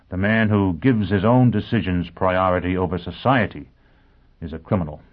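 A man's voice: on the low side, lightly acted. A man voicing a grim, bitter criminal tormented by conscience in an audiobook, speaking with grave intensity. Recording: narrow phone-like sound.

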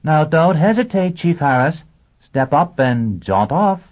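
A man voicing a gentle, anxious, well-bred young woman who teaches, speaking in careful, polite diction. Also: mid-range voice, a little fast, pitched above the performer's own voice, natural voice. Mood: brightly commanding. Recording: thin, phone-like band-limited sound.

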